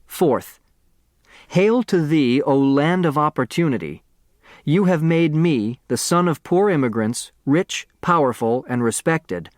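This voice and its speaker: A man's voice: mid-range voice, no echo, clean studio sound, natural voice. A man voicing a commanding, theatrical patriarch in a solemn, oratorical audiobook reading. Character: dead millionaire's will, commanding, grandiose, theatrical old patriarch.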